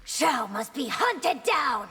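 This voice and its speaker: An actress playing a resolute, afflicted empress in a video game, with a regal, measured and stern delivery. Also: female voice, high-pitched, sing-song, slightly rough, exaggerated voice. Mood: commanding. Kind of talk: video game character dialogue. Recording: long hall reverb under loud music.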